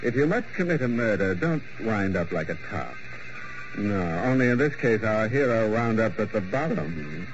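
A man's voice: on the low side, expressive, moderate pace, a little hiss or hum, lightly acted. An actor playing a macabre male horror host and narrator, sardonic and fond of grim puns, in a 1940s radio drama. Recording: dull old radio recording with music behind.

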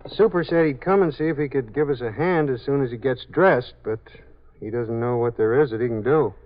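A man's voice: mid-range voice, brisk, natural voice. An actor playing a dutiful policeman in a 1950s radio drama, matter-of-fact and deferential. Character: plain, dutiful male policeman reporting to sergeant. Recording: dull 1950s radio broadcast recording.

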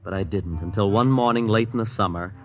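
An actor playing an earnest, boyish young man in a vintage radio drama, speaking in a halting, sincere way. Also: lowish voice, faint music behind, natural voice. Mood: wistful. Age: young adult. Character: earnest lovestruck young American man, increasingly alarmed guest. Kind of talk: 1940s-50s radio drama acting and narration. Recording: dull vintage radio broadcast recording.

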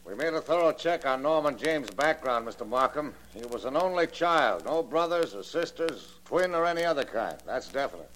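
An actor playing a businesslike investigator, clipped and factual, in 1940s radio style on an old recording. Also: male voice, mid-range voice, expressive, moderate pace, few pauses, a bit bright, a little hiss or hum, close to an everyday voice, poor audio. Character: businesslike investigator reporting to his boss.

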